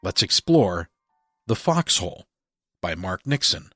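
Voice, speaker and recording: A man's voice: low voice, sing-song, measured pace, sharp tone, soft background music. A man hosting a podcast, reading a scripted introduction and announcements. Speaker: podcast host.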